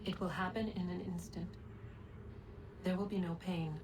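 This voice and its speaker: Imperious goddess played by an actress, drenched in echo. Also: female voice, lowish voice, fairly even intonation, normal speed, background noise, lightly acted. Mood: soothing. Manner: portentous, formal, theatrical. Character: ancient female godlike being, imperious, bitter, prophetic. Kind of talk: dramatic video game cutscene acting. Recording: heavy echo, distant, under loud music.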